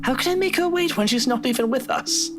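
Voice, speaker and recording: A man's voice: extremely high pitch, lively intonation, a little fast. An actor playing a snide, impatient married man in a visual novel game, with a sarcastic, theatrical delivery. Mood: defensive. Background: guitar music.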